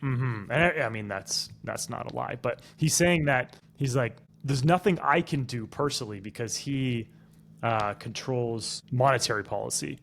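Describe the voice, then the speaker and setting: A man's voice: mid-range voice, normal speed, gravelly, fairly bright tone, a little hiss or hum. A man co-hosting a casual podcast who gives opinions and explains things at length. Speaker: podcast co-host.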